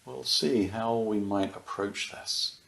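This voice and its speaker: A man with a lowish, creaky voice gently guides a meditation. He talks in short, soothing phrases and leaves unhurried pauses between them. The sound is roomy, with a clear echo around his words. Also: steady tone. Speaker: meditation teacher.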